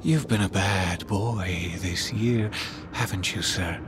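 A man's voice: deep voice, takes it slowly, background noise. A man playing a menacing, gleefully officious Christmas elf attendant in an audio story, mock-polite and taunting. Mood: smug menace. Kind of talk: audio horror story dialogue. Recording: music behind the voice.

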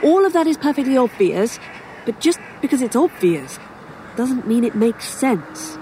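A woman's voice: a little high, very animated, a little slow, warm tone, a little hiss or hum. An actress playing a weary, sardonic human woman in an audio drama, with dry sarcasm and some hesitation. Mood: hesitant, bewildered. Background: outdoor ambience, crows, vehicles.

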